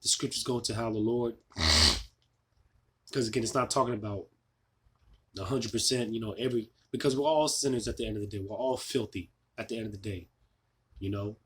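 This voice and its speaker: A man losing his voice, giving an informal scripture sermon, halting with many fillers and restarts. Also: on the low side, fairly even intonation, slightly rough. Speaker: preacher.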